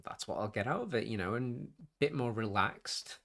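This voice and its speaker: A man's voice: fairly low pitch, lively intonation, normal speed, creaky, fairly bright tone. A man hosting his own hobby live stream with a nasal, sniffly voice, rambling casually and correcting himself as he goes. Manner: rambling, self-interrupting, chatty. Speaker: YouTube hobby channel host.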